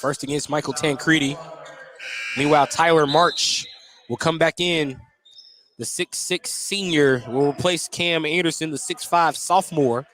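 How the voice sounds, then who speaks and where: A man calling live basketball play-by-play on the radio, getting excited on big plays. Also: fairly high pitch, a little slow. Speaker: play-by-play announcer. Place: basketball gym at a tournament.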